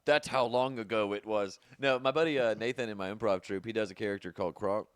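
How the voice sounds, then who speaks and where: A man hosting a casual comedy podcast, joking and swearing freely with quick asides. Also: lowish voice, fairly even intonation, smooth, no room sound, clean studio sound. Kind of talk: casual chat.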